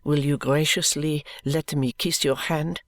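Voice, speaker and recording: A woman voicing an intense, proud French lady's maid with a French accent, speaking in a low, earnest, subdued way. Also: expressive, measured pace, no room sound, full character voice. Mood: cool, polite.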